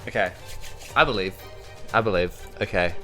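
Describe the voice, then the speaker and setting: A man's voice: sits low, moderate pace, sharp tone, faint music behind. A man with a New Zealand accent playing a tabletop role-playing game, thinking aloud in casual, hesitant chat.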